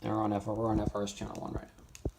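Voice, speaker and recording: A man with a creaky, gravelly voice walks through a hands-on tutorial in a loose, informal way. His explanations ramble a little and lean on conversational asides. The recording is clearly noisy, with clicks and typing. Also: fairly low pitch, completely flat delivery, fast talker. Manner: informal, rambling explanations with frequent "you know". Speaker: radio tutorial presenter. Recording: noisy, with keyboard and clicking sounds.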